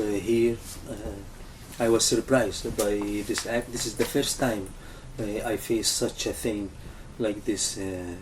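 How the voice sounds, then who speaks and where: Low-voiced guy from lebanon, lots of uh's, kinda far from the mic, faint room noise. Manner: halting, many "uh" pauses. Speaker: interview guest, activist coordinator. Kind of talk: interview answers.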